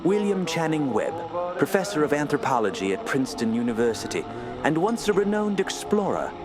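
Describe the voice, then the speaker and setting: An actor playing an inquisitive gentleman with a formal, theatrical way of speaking in a radio drama. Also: on the high side, very animated, fairly quick. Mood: formal, probing. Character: animated gentleman questioning a scholarly colleague. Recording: music under the voice.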